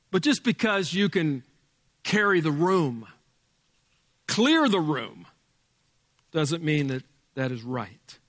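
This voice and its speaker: A male pastor with a loud voice preaching a sermon in a church, emphatic, dropping from booming to hushed. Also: sing-song, slow pace, long pauses, big-room sound. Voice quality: loud speaking voice. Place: church sanctuary with sound board.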